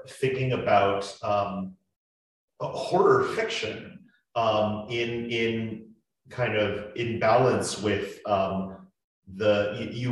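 Man, low voice, slow and halting speaker, panel talk in a very echoey room. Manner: halting, many fillers and restarts. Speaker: panelist, audio drama maker. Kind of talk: panel discussion.

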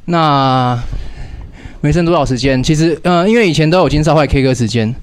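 A man's voice: fairly high pitch, brisk, slight background noise. A man hosting a radio show in a casual, joking chat with a co-host, with a playful mock-grand manner.

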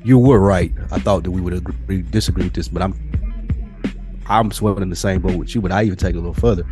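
Blunt, low-pitched man talking casually. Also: fast talker, over music. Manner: blunt, profane, argumentative. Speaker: podcast co-host. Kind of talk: casual opinionated discussion.

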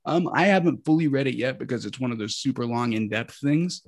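Man, raspy voice, vocal fry, swears a lot, coughs and clears throat. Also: medium pitch, expressive, a little fast, no room sound, clean studio sound. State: high on cannabis. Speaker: podcast co-host. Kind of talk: casual chat.

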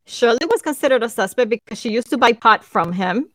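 A woman's voice: on the high side, expressive, brisk, fairly bright tone, no echo, studio quality. A woman whose first language is Spanish, co-hosting a casual podcast, frank and opinionated as she teases her co-host. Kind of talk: casual chat.

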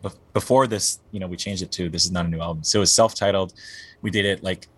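A man's voice: sits low, steady tone, fast talker, fairly bright tone, slightly rough, rough recording. A man in his forties, a band singer, talking casually in an interview. He rambles and often restarts his sentences, with plenty of fillers. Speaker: guest musician, band singer.

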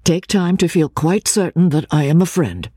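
A man playing an earnest gentleman in an audiobook, speaking gently and anxiously to reassure. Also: a little high, expressive, normal speed, breathy edge, dry recording, clean studio sound, pitched above the performer's own voice. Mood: gentle soothing. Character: earnest gentleman gently soothing a frightened woman. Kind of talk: audiobook character acting.

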